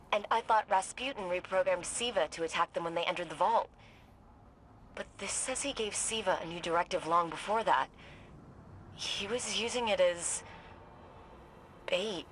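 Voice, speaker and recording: A woman's voice: lowish voice, a bit bright, a little hiss or hum, natural voice, low-quality recording. A woman acting an earnest, puzzled young investigator in a video game, thinking aloud in a conversational way as she briefs soldiers. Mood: troubled, revelatory.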